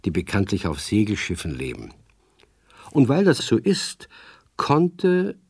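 A man narrating a children's radio story in a measured, expressive storytelling manner. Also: fairly low pitch, breathy edge, dry recording, studio quality.

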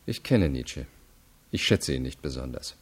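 An actor playing a stern, probing investigator in a radio crime drama, formal, measured and insistent. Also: male voice, a bass voice, expressive, breathy edge, full character voice. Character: stern, probing adult male investigating state attorney. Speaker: interrogator.

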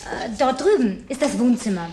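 A woman's voice: fairly high pitch, expressive, normal speed, background noise, lightly acted. An actress playing a lonely, house-proud young woman in a German radio drama, coaxing and effusive with sighs. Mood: chatty, proud.

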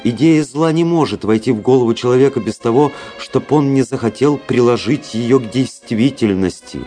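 Guy acting a jaded proud young officer in a radio play, measured and cynical, bit of music under it. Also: mid-range voice, warm tone, close to an everyday voice. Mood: coolly reflective. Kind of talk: Russian radio drama acting and narration.

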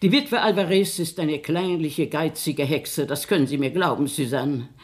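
A woman's voice: sits low, moderate pace, darkish tone, natural voice. An older woman acting a proud, bossy, worldly grandmother in a German radio play, with a haughty, theatrical, emphatic delivery. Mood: bitter.

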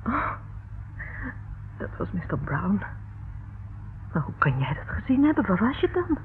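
An actress playing a frightened, secretive young woman in a radio play, emotional, shifting between hushed and urgent speech. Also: mid-range voice, big pitch swings, unhurried. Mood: nervous, evasive. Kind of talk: radio play acting in Dutch. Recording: muffled, narrow-band, noisy old radio recording.

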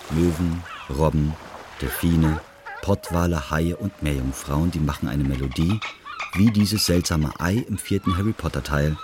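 A man's voice: very deep voice, measured pace. A man reading scripted narration for an audio drama. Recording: clearly noisy ambience behind the voice.

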